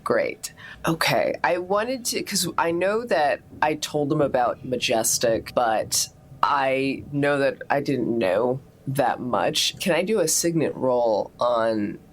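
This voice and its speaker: A woman's voice: rumbling low, expressive, slow pace, slight background noise. A woman chatting casually as a player in a tabletop role-playing game.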